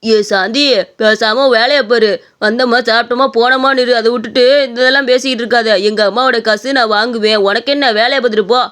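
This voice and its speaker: A woman's voice: fairly high pitch, fairly even intonation, fast talker, fairly bright tone, smooth, close to an everyday voice. A woman voicing a brash, petulant and demanding young man in a story. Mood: rude, angry. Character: brash, demanding young man, idle son of idli seller.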